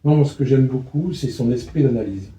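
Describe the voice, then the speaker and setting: Deep, dark-voiced deadpan man. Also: brisk, roomy sound, rough recording. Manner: blunt, deadpan, short answers. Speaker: guest, submissive partner. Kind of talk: casual chat.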